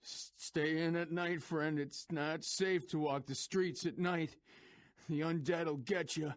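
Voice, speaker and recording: A man's voice: fairly high pitch, steady tone, slow, no echo. A man voicing an ominous, superstitious townsman in a fantasy video game, warning of the undead. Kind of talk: video game voice-over session.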